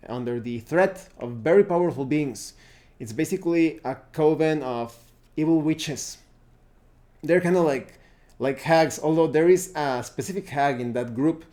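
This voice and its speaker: Mellow-voiced man giving a halting review. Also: mid-range voice. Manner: hesitant, halting, searching for words. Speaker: tabletop game reviewer and host. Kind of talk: unscripted review talk to viewers.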